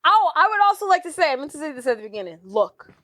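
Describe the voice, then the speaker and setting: A woman co-hosting a podcast, recapping a movie in a playful, animated, joking way. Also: high-pitched, fast talker, dry recording. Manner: playful, animated, jokey retelling. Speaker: podcast co-host. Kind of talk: casual movie recap chat.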